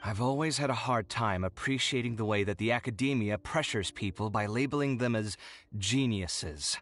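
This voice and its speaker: A man's voice: fairly low pitch, normal speed, fairly bright tone, faint music behind, natural voice. An actor playing a proud, easily exasperated young male architect who talks in an indignant, theatrical way. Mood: snide. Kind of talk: video game dialogue.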